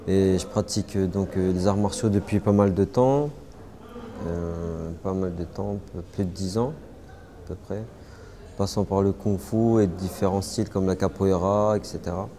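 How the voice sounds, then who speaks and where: A young man, answering interview questions casually, halting often with fillers and restarts. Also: low voice, steady tone, mellow. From Paris suburbs, France. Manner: hesitant, many fillers and restarts. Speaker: interviewee, martial artist.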